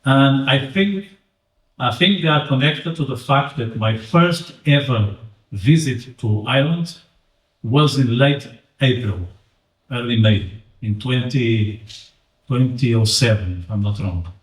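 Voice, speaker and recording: A man's voice: medium pitch, slow. A man with a Portuguese accent giving a chatty, joking talk and quizzing the audience. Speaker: invited speaker. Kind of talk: interactive public talk. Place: community event room. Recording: roomy echo, mic at a distance.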